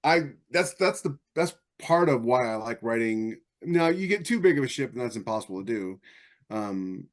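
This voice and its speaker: A man speaking casually as a writer in a podcast discussion. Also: lowish voice. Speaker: podcast panelist, science fiction author.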